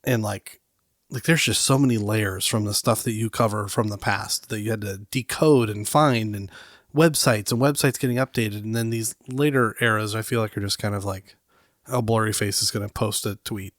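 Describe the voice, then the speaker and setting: A man's voice: lowish voice, moderate pace, no room sound, studio quality. A man co-hosting a casual podcast, easygoing and joking, a little stuffy from a cold, with lots of fillers. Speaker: podcast co-host. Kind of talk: casual chat.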